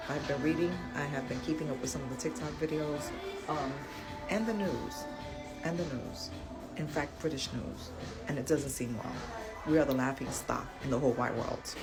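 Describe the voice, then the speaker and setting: A woman's voice: low-pitched, low-quality recording. A woman ranting in a travel vlog, emphatic and exasperated, swearing freely. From United States. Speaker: vlogger.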